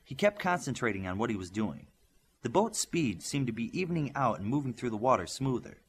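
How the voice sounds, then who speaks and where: A man's voice: mid-range voice, big pitch swings, a little fast, slight background noise. A man reading a scripted story as an audiobook narrator.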